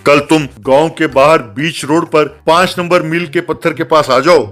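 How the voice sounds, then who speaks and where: A man's voice: a little high, fairly even intonation, normal speed, fairly bright tone, faint music behind, close to an everyday voice. Cocky cartoon thief voiced by a man. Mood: confident, scheming. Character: Bachchan, cocky scheming thief just out of jail. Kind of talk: Hindi comedy cartoon dialogue.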